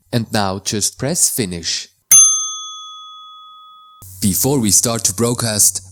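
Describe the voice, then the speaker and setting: A man from Switzerland, a native Swiss German speaker with a Swiss German accent, calmly explaining how to install and use software in a tutorial voice-over, with slightly non-native phrasing. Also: mid-range voice, no room sound. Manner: careful, plain instructional delivery in slightly non-native English.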